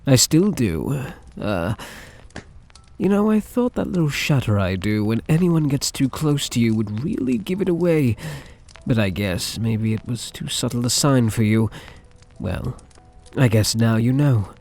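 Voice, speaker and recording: Male actor as young crime-family heir, gently possessive, halting and tender, ASMR roleplay, gravelly creak, very slow delivery. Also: medium pitch, very animated, faint music behind. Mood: tender, nervous confession. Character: soft-spoken, hesitant lovesick young mafia heir, gently possessive.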